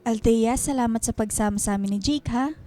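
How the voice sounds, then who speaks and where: A woman's voice: a little high, brisk, mellow, soft background music, lightly acted. An actress playing a welcoming middle-aged mother in a radio drama, homely and teasing. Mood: kindly.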